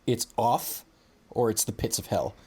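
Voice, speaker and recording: Laid-back young man, lowish voice. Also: a little slow, dark tone, breathy edge. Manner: laid-back, swears, lots of fillers. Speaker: podcast co-host. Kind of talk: casual chat. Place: apartment room in a city.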